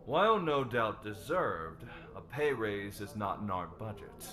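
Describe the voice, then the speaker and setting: A man's voice: on the low side, big pitch swings, unhurried, pushed and bright, heavily acted. A man playing a formal, businesslike company board chairman in an audio drama. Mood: measured.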